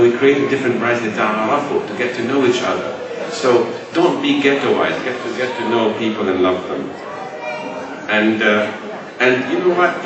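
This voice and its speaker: Imam speaker, easygoing, halting restarts; booming reverb, far-off mic with background hiss. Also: male voice. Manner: relaxed, chuckling, repeats words. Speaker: imam speaker answering questions. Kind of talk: public talk and Q&A. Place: large mosque hall. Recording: echoey hall, far from mic, noisy.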